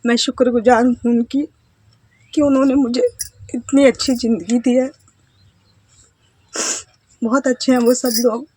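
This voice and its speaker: A woman in her thirties from India, a housewife telling her life story to camera in a halting, hesitant way. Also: fairly high pitch, flat delivery, darkish tone, slightly rough, no room sound. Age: 30s. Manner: hesitant, halting, with self-conscious laughs and trailing phrases. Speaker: vlogger, housewife. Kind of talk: casual personal storytelling to camera.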